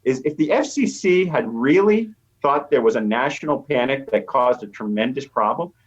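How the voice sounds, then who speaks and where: A man's voice: medium pitch, expressive, normal speed, breathy edge. A middle-aged or older American man, a professor guest explaining things conversationally and emphatically in an interview. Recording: dull, slightly lo-fi voice track.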